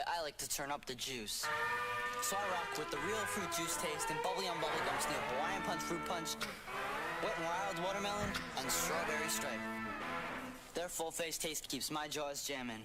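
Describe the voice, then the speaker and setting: A man delivering a scripted advertising pitch as a guitar-playing spokesman. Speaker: commercial spokesman, guitar player. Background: loud music.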